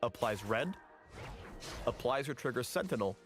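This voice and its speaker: A man's voice: mid-range voice, animated, moderate pace. A man reading scripted narration for a game guide in a polished, instructional manner with light quips. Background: background music.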